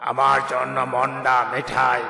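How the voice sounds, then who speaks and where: A man voicing a menacing, greedy genie in a cartoon fairy tale, gravelly and bullying, giving commands. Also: mid-range voice, completely flat delivery, unhurried, exaggerated voice. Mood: demanding. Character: menacing, greedy genie woken from ancient sleep. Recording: long cavernous reverb over loud music, distant.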